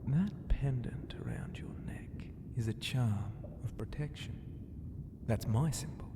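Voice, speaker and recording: Man playing a slow, whispering flirty ocean god. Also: medium pitch, lively intonation, pitched above the performer's own voice. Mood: curious, teasing. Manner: murmuring, teasing, unhurried. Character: huge flirty proud ocean god, gentle rescuer. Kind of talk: ASMR roleplay.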